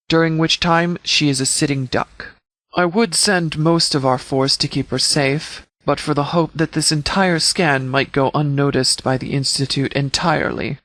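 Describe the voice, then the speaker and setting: A man voicing a stern, commanding sheriff in a video game, giving a formal military briefing with measured, authoritative words. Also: on the high side, a bit bright, no room sound. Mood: firm, commanding. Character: stern, commanding male sheriff briefing his forces before battle. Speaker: sheriff, leader.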